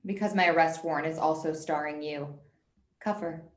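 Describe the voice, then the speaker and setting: Low, stern FBI agent played by a woman, echoey room. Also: normal speed, lightly acted. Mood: stern, deadpan. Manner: official, deadpan. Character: stern, no-nonsense female FBI special agent, thirties. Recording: echoey room on video call.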